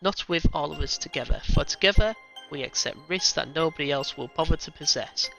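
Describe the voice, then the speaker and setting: A man's voice: on the high side, brisk, vocal fry, bright, forceful tone, faint music behind. A man playing a bold young lieutenant who is earnest and persuasive, on a dull lo-fi recording. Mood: rousing. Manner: earnest, rousing speech-giving.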